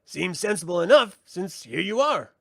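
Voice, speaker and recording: A small, nimble male brownie, blunt and breezy, as a male narrator puts him on. His lines come up high and bouncing, with a lot of movement in the pitch. The recording is dry and close. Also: very animated, moderate pace, vocal fry, fairly bright tone, dry recording, heavily acted.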